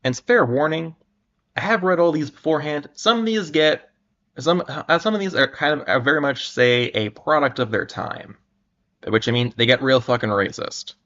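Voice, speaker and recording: A man's voice: a little high, normal speed, a bit bright. A man hosting a livestream, chatting casually to viewers in a hesitant, rambling way and often interrupting himself. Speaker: livestream host. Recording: dull, lo-fi microphone sound.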